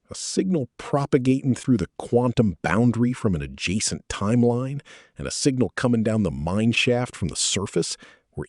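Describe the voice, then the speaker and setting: Low, husky Canadian man narrating a story. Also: darkish tone, no room sound, clean studio sound. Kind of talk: first-person scripted audiobook narration.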